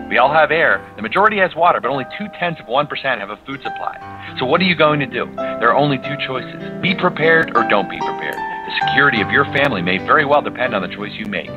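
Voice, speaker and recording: A man reading a scripted commercial as an earnest sales pitch. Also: lo-fi sound. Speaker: ad announcer. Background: guitar music.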